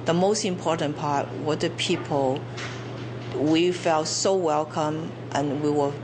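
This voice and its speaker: An older woman from China, a business owner telling her story slowly and reflectively in an interview. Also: sits low, noisy background. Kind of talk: interview testimony.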